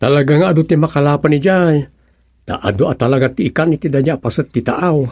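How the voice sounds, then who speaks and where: An actor playing an eager fisherman's son with a husky voice and an Ilocano accent in a radio drama comedy. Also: a little high, quick pace, darkish tone, dry recording, studio quality. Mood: curious, willing.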